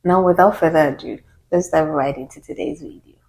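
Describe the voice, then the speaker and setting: Ghanaian woman YouTuber, deep voice, calm and measured, chatty Q&A vlog. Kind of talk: casual get-to-know-me Q&A.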